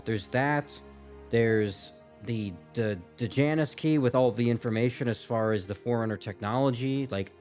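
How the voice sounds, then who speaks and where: A man's voice: mid-range voice, a little mellow. A man giving casual, unscripted commentary as a gaming reaction host, rambling and circling back to rephrase mid-thought, with some casual swearing.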